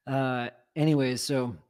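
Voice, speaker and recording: A man telling a long, rambling story in a casual conversation, full of fillers and restarts. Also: medium pitch. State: recovering from lost voice. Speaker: co-host telling a home renovation story.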